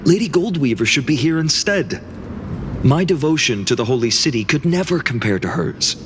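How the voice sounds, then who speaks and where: An actor playing an earnest, spirited young soldier in a video game, humble yet determined and expressive. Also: male voice, fairly high pitch, moderate pace, background noise, close to an everyday voice. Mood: doubtful, humble. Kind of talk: scripted video game voice acting. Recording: loud game music behind.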